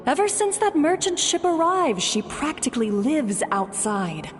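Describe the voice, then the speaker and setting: A woman's voice: sits high, very animated, unhurried. A woman voicing a grumbling, fretful village mother in a video game scene. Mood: exasperated fretting. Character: fretting, grumbling village mother of an adventurous child. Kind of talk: dramatic video game acting. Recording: long reverb, music underneath.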